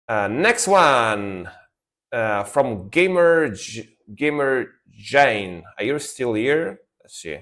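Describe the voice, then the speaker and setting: A man's voice: medium pitch, big pitch swings, drawn-out words, pauses often, a bit bright. A young man with an Italian accent, non-native English speaker, giving casual, enthusiastic music reactions on a live stream with a rambling, repetitive way of talking. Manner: enthusiastic, rambling, repeats words like "sick".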